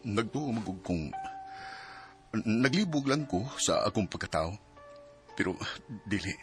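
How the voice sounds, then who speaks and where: Deep-voiced, subdued young Filipino boyfriend. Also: male voice, sing-song, unhurried, soft background music. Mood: ashamed, subdued. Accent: Cebuano Filipino. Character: apologetic young boyfriend confessing a hidden truth. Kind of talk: radio drama acting.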